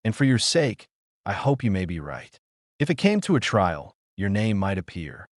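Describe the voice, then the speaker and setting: Man reading as a grave, probing gentleman lawyer. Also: lowish voice, big pitch swings, moderate pace, no echo, clean studio sound. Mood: gloomy, doubtful. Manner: formal, questioning. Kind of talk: dramatic audiobook character reading.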